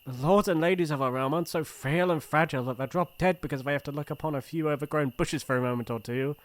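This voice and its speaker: A man with a British accent playing a shy, earnest young crown prince in an ASMR roleplay, speaking in formal, hesitant, gentle phrases. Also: a little high, quick pace, lightly acted. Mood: tender concern. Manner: formal courtly phrasing, hesitant and soft-hearted.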